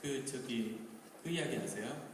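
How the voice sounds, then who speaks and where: A man on stage telling a story in a relaxed, conversational way, with hesitant fillers. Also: mid-range voice, measured pace, noisy background. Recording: echoey hall, distant mic.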